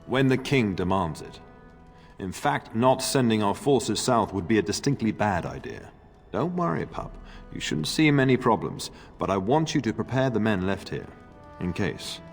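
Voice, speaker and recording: An actor playing a middle-aged noble lord and father in a video game, fond but grave, speaking in a formal, measured way. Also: fairly low pitch, big pitch swings, gravelly. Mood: fond, firm. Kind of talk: video game cutscene acting. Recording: long reverb, music underneath.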